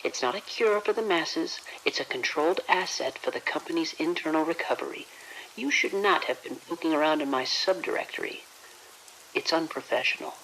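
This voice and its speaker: Low-voiced, condescending villainess played by a woman. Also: expressive, a bit bright, a little hiss or hum, low-quality recording. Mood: condescending, cold. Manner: condescending, measured, veiled threats. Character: cold, condescending female corporate villain, quietly menacing. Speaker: voice actor in an audio drama. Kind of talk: dramatic horror audio drama dialogue.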